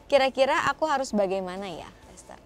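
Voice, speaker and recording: A woman's voice: on the high side, expressive, fast talker, soft background music. A woman hosting a casual advice talk, speaking informally with English words mixed in. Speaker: host reading audience questions.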